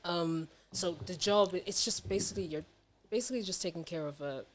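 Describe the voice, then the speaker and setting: A woman's voice: lowish voice, brisk, slight background noise. A young woman talking casually and candidly as a podcast guest, emphatic, slangy and sweary. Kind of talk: casual personal chat.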